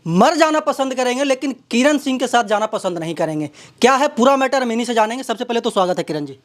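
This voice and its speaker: A man with a Bihari accent working as an interviewer, introducing and questioning in a dramatic, repetitive way. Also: very high voice. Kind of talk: local media interview with narrated introduction.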